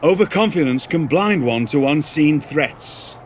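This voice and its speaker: A measured, formal general manager. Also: male voice, a little high, animated, slight background noise. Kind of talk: video game voice acting.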